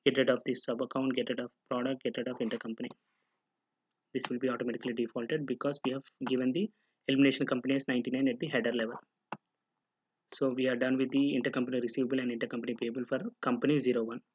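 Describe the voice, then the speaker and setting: A man with a mid-pitched voice narrates a software lesson over background noise and clicking. He explains things step by step, often asking a question and then answering it himself. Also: flat delivery, rapid-fire, muffled recording. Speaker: software instructor. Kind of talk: screen-recorded tutorial narration.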